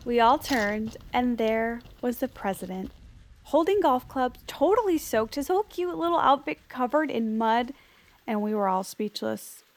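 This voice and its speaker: A woman's voice: a little high, expressive, measured pace. A woman playing a chatty, dim socialite who narrates with gossipy, girlish phrasing in a comedic audio drama. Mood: chatty, oblivious. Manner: gossipy, girlish valley-talk. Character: perky, dim, chatty female socialite storyteller.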